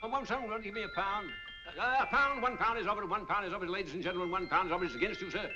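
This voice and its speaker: An actor playing a chatty, coaxing auctioneer in an old film. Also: male voice, high voice, racing, lightly acted. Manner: chatty salesman patter, coaxing and chuckling. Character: jovial fast-talking London auctioneer, male, middle-aged. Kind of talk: 1940s film dialogue. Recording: dull old film soundtrack with music.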